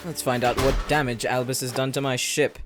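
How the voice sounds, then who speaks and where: A man's voice: medium pitch, level tone, fairly quick, close to an everyday voice. Haughty, bookish paladin brother acted by a man. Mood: solemn. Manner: formal, precise, condescending. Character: proud, bookish male inventor-paladin, haughty elder brother. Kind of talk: audio roleplay acting. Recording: voice over background music.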